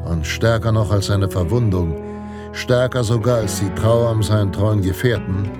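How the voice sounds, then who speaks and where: An actor playing a solemn, grandiose narrator of pulp Western adventures in a radio play, telling it with suspense. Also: male voice, lowish voice, moderate pace, natural voice. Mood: tense, dramatic. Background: guitar music.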